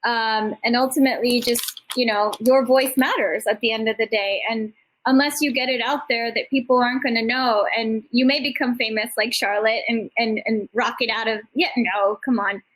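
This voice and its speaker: A woman's voice: fairly high pitch, steady tone, moderate pace, fairly bright tone. A middle-aged woman speaking informally as a summit panel host, encouraging and effusive, rambling conversationally through anecdotes and motivation.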